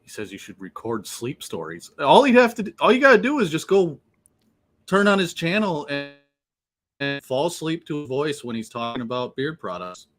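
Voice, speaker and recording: A man's voice: a little high, big pitch swings, moderate pace, a bit bright, soft background music. A man hosting a livestream from his laptop, chatting casually, laughing often and swearing freely. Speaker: livestream host. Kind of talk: casual chat. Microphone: laptop on a remote call.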